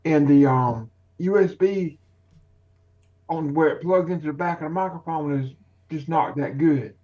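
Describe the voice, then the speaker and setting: A man's voice: a little high, measured pace. A man on a call, chatting in a matter-of-fact, advising way. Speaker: call guest. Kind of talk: casual chat. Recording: dull lo-fi call audio.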